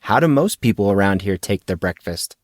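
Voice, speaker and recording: A man's voice: lowish voice, animated, brisk, no room sound, studio quality, close to an everyday voice. A man voicing an awkward, polite fourteen-year-old schoolboy with a hesitant, casual way of talking, in an audiobook. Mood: sheepish, curious.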